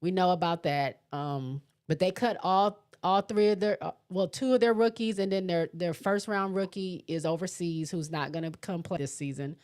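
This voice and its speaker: A woman with a soft voice hosting a live sports talk stream, reading viewer comments in a chatty, laughing way. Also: on the low side, normal speed, hardly any pauses. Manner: chatty, laughs often, restarts sentences. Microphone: mixer setup.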